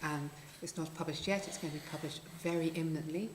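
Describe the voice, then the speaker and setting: A woman's voice: low-pitched, steady tone, fast talker. A woman working in government policy introducing herself on a panel, chatty with light laughs and frequent ums. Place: conference hall at a tech fair. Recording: echoey room, noisy.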